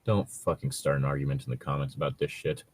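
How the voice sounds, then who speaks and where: A man's voice: a bass voice, fairly even intonation, fast talker. A man chatting casually as a co-host, commenting on stories in a rambling, hedging way with casual swearing. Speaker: co-host reacting to read-out stories. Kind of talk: casual chat and commentary on a read story.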